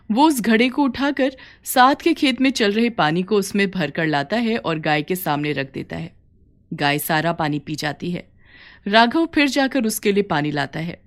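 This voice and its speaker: A woman narrator reading a scripted story. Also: medium pitch.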